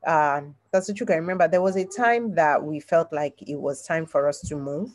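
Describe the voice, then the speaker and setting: A woman from the congregation adding a polite, halting comment over a video call. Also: deep voice, dry recording.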